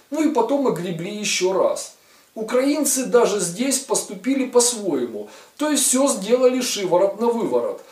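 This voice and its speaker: A man's voice: high voice, a little mellow. A man in his forties giving sarcastic political commentary to camera, in a mocking, ironic, emphatic way. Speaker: video blogger commentator. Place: studio with a virtual set. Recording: roomy, distant sound.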